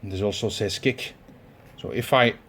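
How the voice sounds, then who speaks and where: A man with a Belgian accent giving a hands-on tutorial, talking in halting steps with many fillers as he searches for words. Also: lowish voice, a bit bright, slight background noise. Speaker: tutorial presenter. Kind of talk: instructional demonstration.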